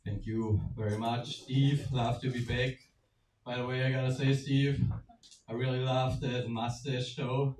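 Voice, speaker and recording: A man's voice: medium pitch, level tone, unhurried, darkish tone. A young man from Germany with a German accent, doing a self-deprecating open-mic stand-up set and chuckling at his own jokes. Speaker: stand-up comedian. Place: small indoor venue stage. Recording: roomy, distant mic, faint noise.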